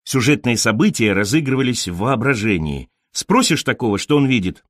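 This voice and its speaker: Guy reading an audiobook, literary story, really varied intonation, measured delivery, super dry close mic sound. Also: medium pitch, big pitch swings, a bit bright, no echo. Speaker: audiobook narrator. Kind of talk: scripted literary narration, first-person story reading.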